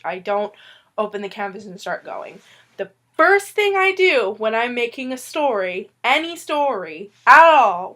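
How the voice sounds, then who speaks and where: A woman's voice: fairly high pitch, a bit bright. A woman under the weather walks viewers through how she makes her comics. She is a bit loopy and rambles with self-mocking jokes. Her talk stays casual and friendly while she is fighting off a cough. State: sick with a cough. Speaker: webcomic artist sharing tips. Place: indoors at a wooden table.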